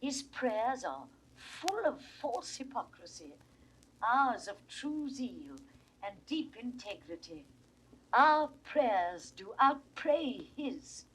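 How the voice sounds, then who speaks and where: A woman's voice: on the high side, very animated, slow, a bit bright, slightly rough, full character voice, poor audio. A woman with a British RP accent playing a desperate, aging duchess in a Shakespearean audio drama, pleading in impassioned verse. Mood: passionate. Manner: impassioned, sweeping verse delivery. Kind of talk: classical Shakespearean stage acting. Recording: vintage 1960s recording, faint noise.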